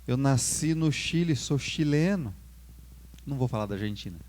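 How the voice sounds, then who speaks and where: Brazilian pastor preaching with rising emphasis. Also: male voice, mid-range voice, animated, normal speed, a little mellow. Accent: Brazilian Portuguese. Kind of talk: sermon.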